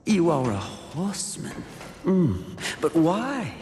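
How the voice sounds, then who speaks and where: A suave demonic tempter in a fantasy game. He draws his words out slowly and swoops wildly in pitch, teasing and condescending. A long reverb trails the theatrical delivery. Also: wildly swooping pitch, a little mellow, exaggerated voice. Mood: coaxing. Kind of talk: theatrical video game villain acting. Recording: reverberant game capture, faint noise.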